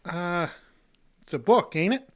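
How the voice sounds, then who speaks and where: A punch-drunk, folksy janitor in an old-time radio comedy. His pitch swoops around, and he nervously repeats the tail ends of his phrases. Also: expressive, a little slow. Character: punch-drunk male custodian, folksy and jittery.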